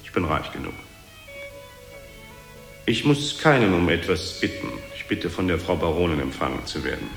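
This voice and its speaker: A haughty, ageing Venetian adventurer in a radio play. The voice is very low and pompous with weariness in it. The room rings around him and soft music plays behind. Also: male voice, very animated, normal speed, gravelly, long pauses, reverberant, heavily acted. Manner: pompous, self-important. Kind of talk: radio drama acting.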